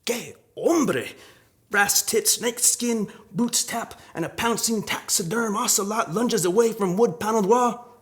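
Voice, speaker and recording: A man's voice: high-pitched, a little slow. A man from Arizona, a poet, reading and talking about his own work. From Arizona, United States. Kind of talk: poetry reading and commentary on own poem.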